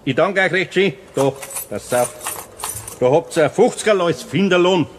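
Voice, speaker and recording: An older Bavarian master craftsman in a children's radio play. He is folksy and good-natured but firm, with a fairly high, lively voice over faint tape hiss. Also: normal speed. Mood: amused, kindly. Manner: folksy, patient, dryly amused. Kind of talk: children's audio play acting.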